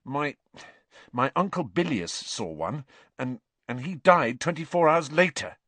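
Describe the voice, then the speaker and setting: A man acts out a superstitious, easily riled schoolboy in a storybook. He is plain-spoken and earnest, with very mobile pitch and a close, dry sound. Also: lively intonation, unhurried, a bit bright, no echo. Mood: worried. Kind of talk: audiobook character voice.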